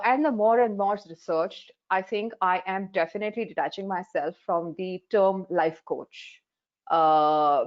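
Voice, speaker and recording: Woman in her 40s, Hindi native speaker from India, earnest life coach on a muffled video call. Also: on the low side. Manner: earnest, frank storytelling with many fillers. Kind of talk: webinar panel talk.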